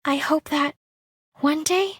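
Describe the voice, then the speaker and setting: A woman voicing a kind, earnest young herbal healer in a game, gentle and sincere. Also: high voice, slow, no room sound, clean studio sound. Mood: hopeful. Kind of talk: scripted game character voice lines.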